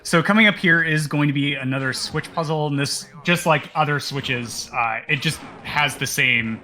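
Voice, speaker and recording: A man's voice: fairly high pitch, moderate pace, pushed and bright, soft background music. A man co-commentating a live speedrun, explaining the game in a casual way.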